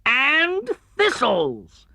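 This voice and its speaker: Big bouncy tiger cub for a kids story, high n loud, super eager, showing off. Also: male voice, wildly swooping pitch, drawn-out words, vocal fry, dry recording, clean studio sound, exaggerated voice. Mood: yelping, pained. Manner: exuberant, loud.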